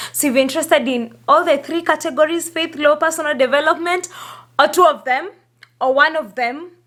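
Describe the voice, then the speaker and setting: A hoarse woman, chatting upbeat to her viewers in a casual vlog, laughing often between phrases. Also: sits high, fairly bright tone. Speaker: YouTuber. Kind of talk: casual vlog talk to camera.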